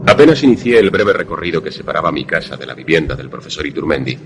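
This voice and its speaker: An actor in a suspense radio drama playing a courteous former pupil, speaking in a formal, literary manner. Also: male voice, very deep voice, sing-song, quick pace, background noise, exaggerated voice. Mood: measured, suspenseful. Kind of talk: radio drama narration and dialogue.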